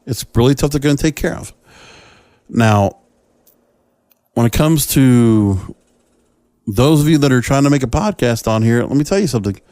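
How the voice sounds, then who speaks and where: A man's voice: mid-range voice, expressive, normal speed, long pauses, mellow, dry recording. A man hosting a solo podcast, giving opinionated commentary in a conversational, emphatic way and addressing listeners directly. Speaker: podcast host.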